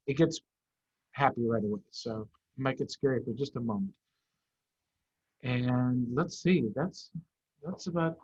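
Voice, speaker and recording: Husky man reading to children. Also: fairly high pitch. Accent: American. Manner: animated, emphatic storytelling with dramatic pauses. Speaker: head of school reading to children. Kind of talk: story time read-aloud for young children. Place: online video call. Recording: dull, muffled online video call.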